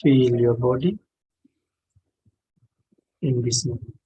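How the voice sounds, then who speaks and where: Male meditation teacher, non-native English, very slow delivery, mid-pitched, gentle phrases with long pauses. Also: breathy edge, lo-fi sound. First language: not English. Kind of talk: guided meditation instruction.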